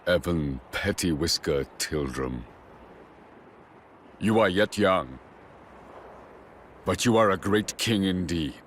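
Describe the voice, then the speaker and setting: A man's voice: deep voice, lively intonation, slow, pauses often, a little hiss or hum. An actor playing a proud, regretful fallen king in a video game, speaking in solemn, archaic, theatrical phrases. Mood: solemn resolve.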